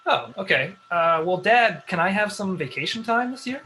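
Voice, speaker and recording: A bright, high male voice from a man acting as a dry, unimpressed staffer in his thirties. The comedy read is deadpan and needling, with slight background noise. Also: normal speed, heavily acted. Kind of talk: comedy script table read.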